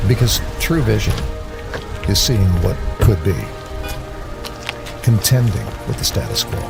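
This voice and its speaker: A man narrates an inspirational promo slowly and dramatically. His voice is low and gravelly with a lively rise and fall. Loud music plays under him. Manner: slow, dramatic, emphatic. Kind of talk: scripted inspirational voice-over.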